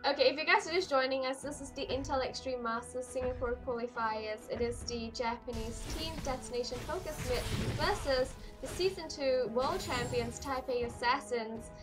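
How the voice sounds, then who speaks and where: A woman doing solo live play-by-play of a game, hoarse and losing her voice, with quick calls that climb in the fights. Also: poor audio. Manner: rapid play-by-play that jumps higher in fights. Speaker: esports caster. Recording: clear music behind the voice.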